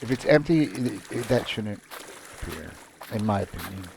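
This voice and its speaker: A man casually commenting on his own gameplay, muttering relaxed asides as he plays. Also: on the low side, moderate pace, creaky, a little hiss or hum. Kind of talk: casual let's-play commentary.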